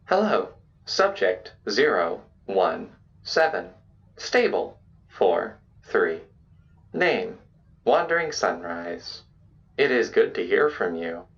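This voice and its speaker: Casting: a woman as a formal machine intelligence, unhurried and measured, deep, oddly swinging pitch, dulled speaker sound. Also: very animated, highs cut off, exaggerated voice. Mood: impassive. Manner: formal, clipped phrases, measured. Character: impassive female computer system announcing status.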